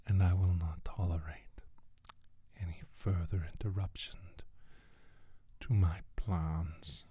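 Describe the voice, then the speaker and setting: A man playing a menacing alien scientist in an ASMR roleplay, speaking in a breathy, raspy near-whisper with slow, imperious deliberation. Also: sits low, warm tone, dry recording, heavily acted. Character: menacing alien scientist bent on destroying humanity. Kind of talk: soft-spoken ASMR roleplay monologue.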